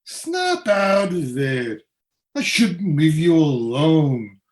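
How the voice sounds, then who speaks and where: Skeptical, brusque husband for radio play, male actor; very lively intonation, unhurried pace. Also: a little high, heavily acted. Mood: scoffing. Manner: brusque, scoffing, slangy. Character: dismissive, skeptical working-class husband.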